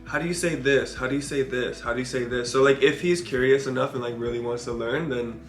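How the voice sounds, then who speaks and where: A man's voice: mid-range voice, quick pace. A young man in a casual vlog chat with his partner, talking informally with lots of "like". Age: young adult. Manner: informal, peppered with "like". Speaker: parenting vlogger. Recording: roomy echo, faint music behind.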